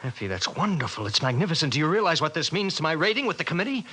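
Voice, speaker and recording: An American actor playing a stern, status-obsessed husband who lectures impatiently in a 1950s radio drama. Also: male voice, on the high side, sing-song, racing, pushed and bright, husky, heavily acted. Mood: excited, proud. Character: domineering, status-obsessed husband on a post-war committee.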